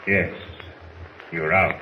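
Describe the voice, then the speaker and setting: An actor playing a stern, honourable older submarine commander in 1940s radio drama, with a grave, commanding manner. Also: male voice, low-pitched, steady tone, drawn-out words, sharp tone, full character voice. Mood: resigned, grave. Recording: noisy, roomy 1940s radio recording.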